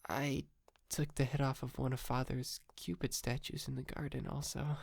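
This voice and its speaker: Man acting a hesitant, creaky-voiced polite yandere. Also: medium pitch, flat delivery, normal speed. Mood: embarrassed. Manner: hesitant, stammering, soft-spoken with breathy whispered moments. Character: polite, sheepish, wealthy young male yandere kidnapper. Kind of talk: ASMR roleplay acting.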